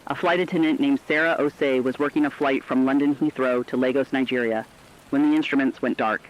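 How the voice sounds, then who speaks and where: A man's voice: medium pitch, fairly even intonation, fairly quick, natural voice. A man playing a somber, humane radio correspondent, telling survivors' stories in a reflective, scripted report. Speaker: radio broadcaster. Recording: dull, lo-fi radio sound.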